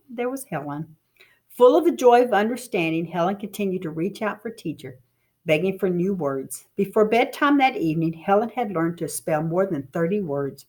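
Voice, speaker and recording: A woman reading a story aloud in an expressive, dramatic teacher's storytelling manner. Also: on the high side.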